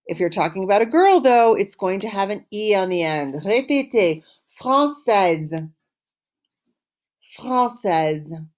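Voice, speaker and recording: A woman's voice: mid-range voice, lively intonation, takes it slowly. A woman teaching a language class, encouraging and instructive, carefully enunciating phrases for learners to repeat. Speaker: French teacher. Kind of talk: language lesson with call-and-repeat.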